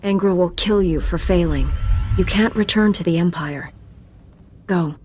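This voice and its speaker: An actress playing a steadfast, principled Jedi knight in a video game, speaking in a firm, earnest, assured way. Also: female voice, on the low side, unhurried, a little mellow, a little hiss or hum, natural voice. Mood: firm compassion.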